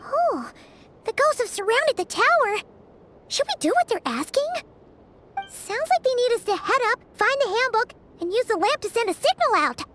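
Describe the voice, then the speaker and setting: Super high bouncy little fairy sidekick, very chirpy, game music behind. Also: female voice, moderate pace, noisy background. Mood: uneasy. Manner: chirpy, excitable, talks about herself in third person. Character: small excitable floating fairy companion girl. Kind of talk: game voice acting.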